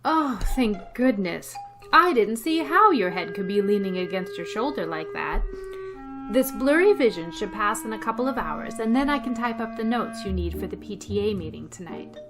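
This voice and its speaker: An actress playing a wry, quick-witted English teacher in a comedic radio play, dry and wisecracking. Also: female voice, mid-range voice, lively intonation, over music, lightly acted. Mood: flustered. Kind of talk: comedic old-time radio play acting and narration.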